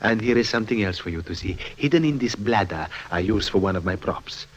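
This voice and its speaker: An actor playing a crafty circus clown and smuggler in an old radio drama, sly and theatrical. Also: male voice, on the low side, expressive, brisk, background noise, lightly acted. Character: crafty male circus clown, proud emerald smuggler, father.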